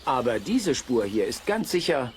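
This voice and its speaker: A man's voice: medium pitch, sing-song, moderate pace, a little hiss or hum, natural voice. A man acting a skeptical, self-assured forest dog owner in a children's radio play, dry and dismissive in manner.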